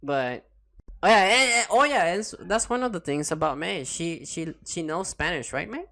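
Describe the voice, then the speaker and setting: A man hosting a podcast in casual chat, excitable and loose-tongued, swearing often and restarting his words. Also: high-pitched, moderate pace, creaky. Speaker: podcast host.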